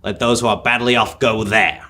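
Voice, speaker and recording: A man's voice: lowish voice, steady tone, moderate pace, sharp tone. A young man playing a bitter, miserly old businessman in a live radio drama, speaking in a curt, scornful, emphatic way. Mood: dismissive. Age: teenager or young adult.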